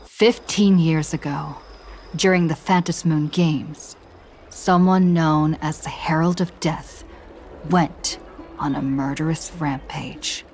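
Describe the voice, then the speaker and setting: A woman voicing a young female traveler in a game, narrating a scripted story recap with a measured, even delivery. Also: low-pitched, slight background noise. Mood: calm. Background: faint background music.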